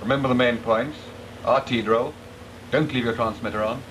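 A man's voice: mid-range voice, steady tone, moderate pace, a bit bright, a little hiss or hum, close to an everyday voice, poor audio. An actor playing a brusque flight instructor officer who scolds his trainees. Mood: stern. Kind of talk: 1940s British film acting.

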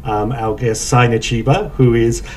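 An older man hosting a roundtable interview, courteous and measured, with frequent ums as he introduces his guest. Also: medium pitch, fairly even intonation, a little mellow, recorded from a distance, slight background noise. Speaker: host. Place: office meeting room, indoors. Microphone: desk microphone from a distance.

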